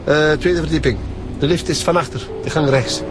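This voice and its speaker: An actor playing a rambling, religious fanatic concierge who preaches doom, with a Flemish accent. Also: male voice, moderate pace, heavy background noise, natural voice. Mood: ominous. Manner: ominous, rambling, swooping pitch. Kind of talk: radio drama acting. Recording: music under dialogue.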